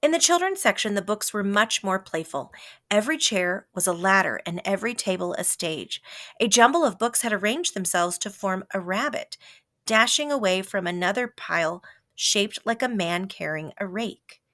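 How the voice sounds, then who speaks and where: A woman reading a children's story aloud as scripted narration, with a dramatic, expressive delivery that varies for effect. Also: medium pitch, normal speed. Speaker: storybook reader.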